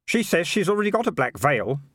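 A man's voice: high voice, big pitch swings, fairly quick, a bit bright, dry recording, clean studio sound, pitched above the performer's own voice, full character voice. A man voicing an earnest, worried and slightly hesitant boy in a storybook.

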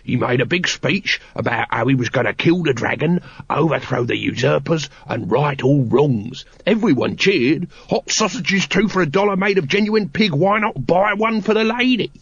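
A man's voice: fairly high pitch, lively intonation, moderate pace, few pauses, breathy edge, dry recording, lo-fi sound, voice pushed up. A man doing a whiny street sausage hawker. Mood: hawking. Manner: whiny, hawking, sing-song sales patter. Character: wheedling fast-talking male street sausage seller.

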